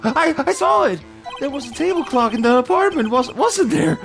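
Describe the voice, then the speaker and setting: A man's voice: very high-pitched, lively intonation, normal speed, vocal fry, a bit bright, faint music behind, pitched above the performer's own voice, full character voice. A man voicing a flustered, nervous, shifty male witness in a stammering comic courtroom reading. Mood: nervous, insistent.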